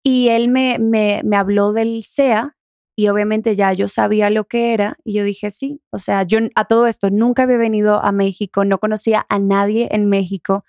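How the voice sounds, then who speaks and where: A young adult woman from the Dominican Republic with a softened Dominican accent, talking casually as an interview guest. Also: mid-range voice, little pitch movement, moderate pace, dry recording, clean studio sound. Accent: Dominican, softened toward neutral Spanish.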